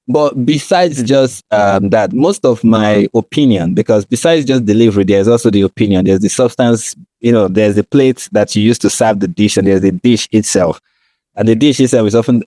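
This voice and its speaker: A Nigerian man speaks in a dark, relaxed voice with very little room sound. He explains himself conversationally as a podcast co-host, often checking in with the listener as he goes. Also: on the low side, no room sound, low-quality recording.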